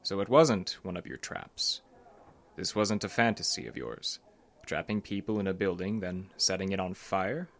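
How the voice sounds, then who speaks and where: An actor playing a concerned psychologist in an audio drama, a man who is earnest, measured and gently pressing. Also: deep voice, expressive, gravelly. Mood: probing. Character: concerned, probing male psychologist, earnest and cautioning.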